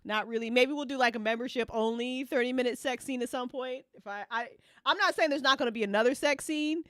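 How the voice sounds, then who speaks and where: A woman's voice: high voice, fast talker, a bit bright, no room sound, studio quality. A woman hosting a casual group chat in an informal, sweary way, often repeating phrases for emphasis. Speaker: after-show host and fiction podcast writer.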